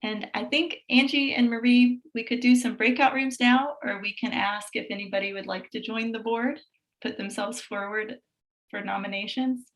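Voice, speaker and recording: A woman's voice: a little high, steady tone, moderate pace, rough recording. A woman running an online meeting, talking in a friendly, upbeat way. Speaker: meeting facilitator. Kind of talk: casual online meeting talk. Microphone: video call.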